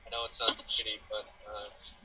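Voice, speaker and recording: A man's voice: fairly low pitch, completely flat delivery, brisk, a crowd in the background. Teasing young man on a distant mic. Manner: teasing, rambling. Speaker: gaming friend. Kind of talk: casual gaming chat. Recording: distant mic with clear noise.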